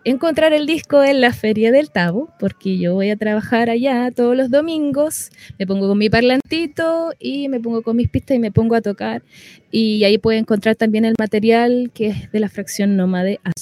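Higher-pitched, mellow Chilean woman musician. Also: normal speed, no echo. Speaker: musician guest. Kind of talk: casual interview talk.

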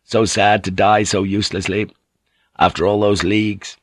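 A man's voice: on the low side, level tone, moderate pace, husky, dry recording. A man voicing a weary, brooding ship's pilot in an audiobook, subdued and fatalistic. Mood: despairing, resigned. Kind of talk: dramatic audiobook character voice.

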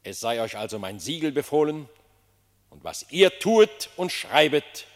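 A man's voice: fairly high pitch, very animated, a little slow. An actor playing a solemn, gracious animal king, speaking stately, formal verse in a classic German radio play. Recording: long hall-like reverb on voice.

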